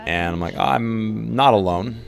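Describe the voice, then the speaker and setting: A man taking part as a player in an improvised roleplay, speaking casually in a hesitant, halting way. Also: fairly low pitch, vocal fry. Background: music.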